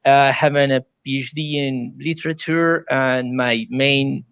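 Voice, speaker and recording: A Colombian man with a Spanish accent moderating an online panel in halting English. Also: mid-range voice, fairly even intonation, fairly bright tone, clear, resonant tone, dry recording, lo-fi sound. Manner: halting second-language English. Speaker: panel moderator, science fiction editor. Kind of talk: hosting an online panel discussion.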